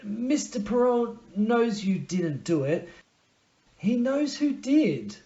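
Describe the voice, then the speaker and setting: A wounded young lover in a melodramatic audio play, played by a man. The voice rings with clear room echo. The sound is dull and lo-fi. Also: high voice, sing-song, slow, warm tone, full character voice. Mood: gentle, sorrowful. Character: wounded young male lover, secretly a murderer. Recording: roomy, echoey, dull lo-fi sound.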